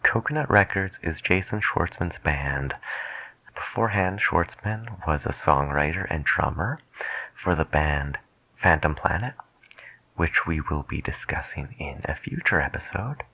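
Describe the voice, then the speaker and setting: A man acts as a late-night radio DJ with a very deep, slow voice, recorded close and dry. He is soft-spoken and musing, in a hushed ASMR roleplay manner. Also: lively intonation, vocal fry, no room sound, exaggerated voice, poor audio. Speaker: radio host.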